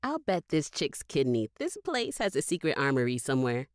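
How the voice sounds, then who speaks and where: Cocky female ghost hunter, deep for a woman, swooping intonation, sardonic, audio drama. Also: very animated, normal speed, no room sound, clean studio sound. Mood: brash, defiant.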